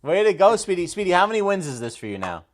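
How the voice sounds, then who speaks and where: A man's voice: sits high, sing-song, fast talker, dry recording, clean studio sound. A man co-hosting a talk show in casual banter.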